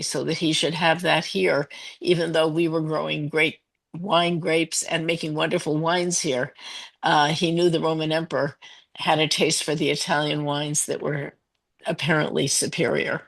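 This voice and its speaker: A woman's voice: low voice, little pitch movement, moderate pace, gravelly. A woman with a nasal voice from a cold, speaking on a video call and chiming into a class discussion with effusive, enthusiastic remarks. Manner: enthusiastic, effusive descriptive talk. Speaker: class participant. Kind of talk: contributing to an online class discussion.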